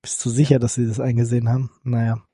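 A man's voice: medium pitch, flat delivery, fast talker, dark tone, husky, no echo. A man hosting a podcast, moving the casual tech news chat along with dry asides. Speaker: podcast host.

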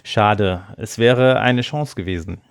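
Male podcast co-host, low and gravelly, dry sound, opinionated. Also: takes it slowly, dry recording. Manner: opinionated, reads quotes aloud. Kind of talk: casual tech chat.

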